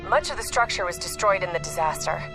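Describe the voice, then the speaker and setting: Female operative played by an actress, slightly low pitch, businesslike, matter-of-fact, game cutscene, clear musical backing. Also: lively intonation, fast, over music, close to an everyday voice. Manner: clipped, matter-of-fact briefing. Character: businesslike, hard-headed female intelligence operative. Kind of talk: video game cutscene acting.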